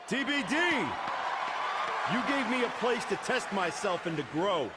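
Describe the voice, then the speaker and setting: A proud male wrestler on a comeback in a game cutscene. His fairly high voice is earnest and determined, emphatic, with a noisy arena crowd behind. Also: lively intonation, measured pace. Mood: proud, resolute. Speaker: pro wrestler. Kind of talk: video game cutscene acting.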